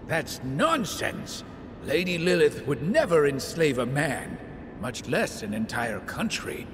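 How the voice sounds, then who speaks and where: A theatrical old fiend retainer from a video game, devoted and formal. He draws his words out slowly in a fairly high voice. It echoes as if in a great hall. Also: sing-song, background noise. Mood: indignant. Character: elderly, devoted male fiend retainer, small and excitable. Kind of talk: video game cutscene acting. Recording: long hall reverb, music underneath.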